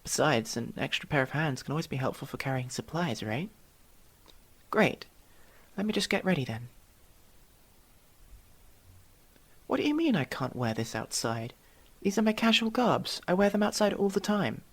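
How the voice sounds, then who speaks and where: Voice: medium pitch, big pitch swings, moderate pace, a bit bright, breathy edge, exaggerated voice. A performer voicing a curious, proper young elf mage student in an ASMR roleplay, inquisitive and a little formal, with hesitant stammers. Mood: earnest, indignant. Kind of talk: ASMR roleplay, one-sided dialogue with the listener.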